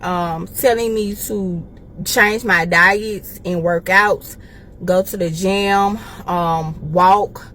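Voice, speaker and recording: A woman in her thirties with an American accent, speaking informally and deliberately in a casual, confessional vlog, pausing for emphasis. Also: on the low side, a bit bright, slight background noise. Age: 30s. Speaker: life coach and evangelist making a personal video.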